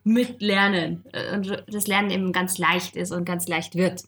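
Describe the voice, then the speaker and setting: A woman co-hosting a podcast, chatty and lively, laughing often as she explains. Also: fairly low pitch, normal speed. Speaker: podcast co-host. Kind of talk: casual podcast chat.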